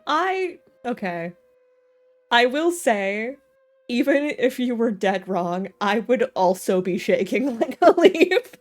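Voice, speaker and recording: A woman's voice: a little high, very animated, slow pace. A woman livestreaming a game with casual, slangy, self-deprecating commentary and jokes. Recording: game music playing behind voice.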